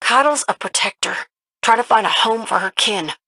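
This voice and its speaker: Bright, folksy pilot. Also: female voice, on the high side, moderate pace. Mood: earnest. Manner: folksy, plain-spoken, drawling slang. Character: plucky, folksy, warm-hearted young woman pilot. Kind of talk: dramatic video game dialogue.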